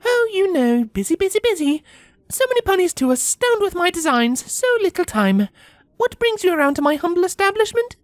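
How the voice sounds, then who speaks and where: Man voicing a very high, refined unicorn lady. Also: sing-song, brisk, no room sound. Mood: breezy, theatrical. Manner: affected, gushing, posh. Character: refined, theatrical, flattered female unicorn fashion designer.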